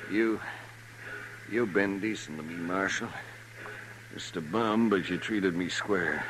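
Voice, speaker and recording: A noisy old radio western recording, with a dying frontier drifter. He speaks slowly and weakly in labored phrases, humble and grateful. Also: low-pitched. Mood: weak, pleading. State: weak, labored, failing speech. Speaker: radio drama actor. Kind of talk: 1950s radio western acting. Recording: noisy, hissy 1950s radio broadcast recording.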